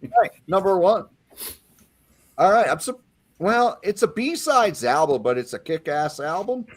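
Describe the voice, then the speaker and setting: Man, fifties, from Pennsylvania, enthusiastic, jokey, lively pitch, fairly high voice. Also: unhurried. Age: 50s. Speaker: panelist. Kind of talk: casual livestream panel chat.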